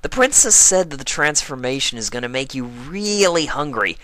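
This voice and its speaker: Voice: medium pitch, very animated, normal speed, no room sound, pitched above the performer's own voice. A storyteller voicing a brash, protective young female pegasus pony who talks bluntly and casually. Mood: brisk, upbeat. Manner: blunt, forceful, slangy.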